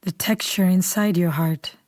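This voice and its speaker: A woman leading a guided meditation in a calm, unhurried, soothing way, softly and at times almost whispering. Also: lowish voice, no echo, clean studio sound. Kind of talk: guided meditation, slow scripted instruction.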